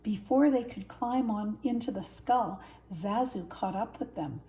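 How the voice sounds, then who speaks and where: A woman's voice: mid-range voice, unhurried, warm tone. An older woman, a grandmother, narrating a children's story. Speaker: grandmother reading stories.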